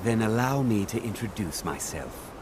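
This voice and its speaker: A stern male archmage of a fantasy game, played by a man, low in voice and widely inflected. He speaks formally and with measured authority, with clear background noise around him. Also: expressive, natural voice. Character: stern, dignified male archmage of a magic college. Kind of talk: video game character dialogue.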